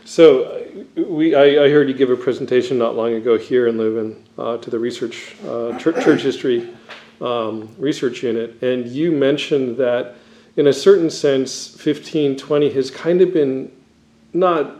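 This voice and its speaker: A man's voice: medium pitch, steady tone, unhurried, mellow, roomy sound. A man interviewing a scholar, asking questions and summing up the guest's points. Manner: leading, rephrases the guest's points.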